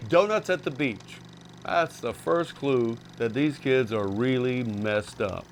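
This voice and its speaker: A man's voice: mid-range voice, slow pace, over a noisy crowd. A man hosting with wry, jokey, mocking commentary on old films. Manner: sardonic, jokey. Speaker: host.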